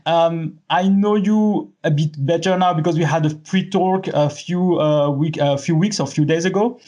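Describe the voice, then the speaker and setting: A man hosting a podcast interview, friendly and halting, with many fillers. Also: a little high, a little mellow. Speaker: podcast host.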